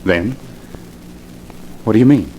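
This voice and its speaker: A man's voice: lowish voice, expressive, takes it slowly, mellow, dull audio, slight background noise. Obsessed, bewitched husband in old-time radio drama: fervent and intense, on an aged recording. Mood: entranced, bewildered.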